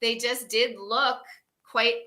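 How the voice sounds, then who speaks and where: A woman's voice: fairly high pitch, flat delivery, slow, bright, forceful tone. A woman in her fifties co-hosting a wellness livestream, talking in a casual, effusive way and laughing often. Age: 50s.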